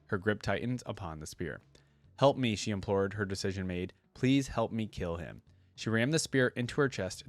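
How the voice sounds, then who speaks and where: A man's voice: on the low side, dry recording. A man hosting a reaction video, switching between casual, informal commentary and reading a story aloud.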